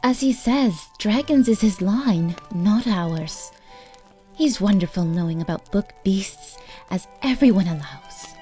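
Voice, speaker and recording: A woman's voice: medium pitch, expressive, unhurried, warm tone, soft background music, lightly acted. A woman playing a sensible, kindly shepherd's wife with soothing, homely country speech in an amateur audiobook drama. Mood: kindly, reasonable.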